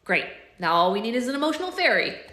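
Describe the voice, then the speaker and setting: A woman voicing a sarcastic, scheming young boy, pitching her voice lower. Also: sing-song, fast talker, bright, forceful tone, reverberant.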